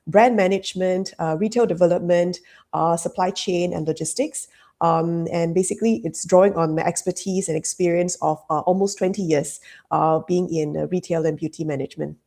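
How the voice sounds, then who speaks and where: Gravelly woman, hesitant. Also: fairly low pitch, dark tone. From Malaysia. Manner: hesitant, full of "um" and "you know, like" fillers. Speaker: business consultant, guest. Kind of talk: interview answers.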